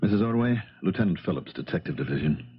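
An actor playing a dogged, polite American police detective who keeps probing, in a 1970s radio drama. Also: male voice, expressive, quick pace. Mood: grave, polite. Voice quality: deep, husky. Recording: dull, muffled old radio broadcast.

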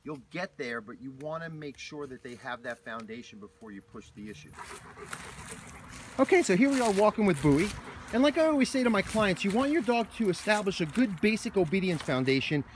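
A man's voice: sits high, very animated, a little fast, a little hiss or hum. A man with an American accent, a dog trainer explaining obedience steps while walking his dog on a hiking trail and calling commands to it. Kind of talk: outdoor training demonstration.

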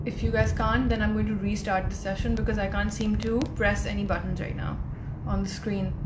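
A woman's voice: medium pitch, level tone, brisk, noisy background. A woman, hosting a casual live interview from a room at home on a phone. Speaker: host and interviewer. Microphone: phone at arm's length.

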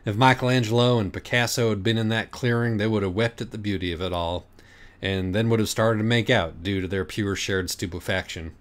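A low-voiced man narrates comic fiction from a script. His delivery is wry and profane, that of an audiobook storyteller. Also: steady tone, a little fast.

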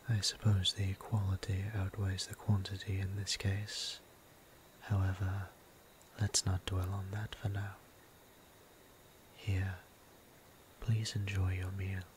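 Man acting a hushed, low-key, gentle vampire priest. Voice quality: breathy, hushed, half-whispered. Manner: formal, archaic, tender, unhurried phrasing. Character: gentle, courtly male vampire priest tending a patient. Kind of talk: ASMR roleplay, soft-spoken and whispered. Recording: clear background noise and ambience.

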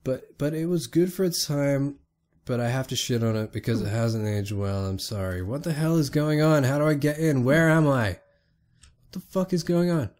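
A sick young man giving casual, excitable let's play commentary on a retro game, swearing often and talking to the characters on screen. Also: mid-range voice, moderate pace. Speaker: gaming YouTuber.

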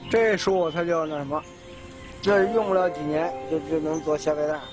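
A man, plainly explaining his woodworking craft lively emphasis in a documentary interview. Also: a little high, moderate pace. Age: 60s. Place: cluttered indoor workshop. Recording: documentary music underneath.

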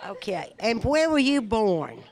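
A woman's voice: on the high side, big pitch swings, a little slow, a crowd in the background. Folksy older woman emceeing with a handheld mic. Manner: folksy, calls people honey. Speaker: senior center host. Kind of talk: casual emcee chat. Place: large indoor community room.

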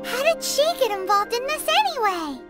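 Casting: tiny blunt fairy sidekick, anime game, extremely high squeaky child voice, very animated, cutesy. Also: female voice, moderate pace, loud music behind the voice. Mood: questioning. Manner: cutesy, speaks of herself in third person.

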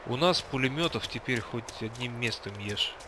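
A man with an average-pitched voice talks casually with others during a game. His microphone picks up clear background noise. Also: normal speed. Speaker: gamer in a group session. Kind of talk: casual gaming chat. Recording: clearly noisy voice-chat microphone.

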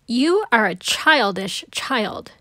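Woman doing a slow, fussy grown-up gentleman. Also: fairly high pitch, very animated, no room sound, clean studio sound, exaggerated voice. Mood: exasperated. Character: fussy, proper grown-up man, easily flustered babysitter. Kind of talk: children's storybook read-aloud.

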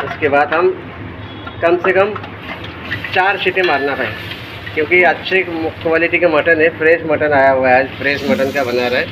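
A man's voice: fairly high pitch, highs cut off. A man casually narrating a cooking demonstration as the cook, in a step-by-step way. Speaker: cook presenting a recipe. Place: restaurant kitchen, indoors. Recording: noisy kitchen, loud background roar.

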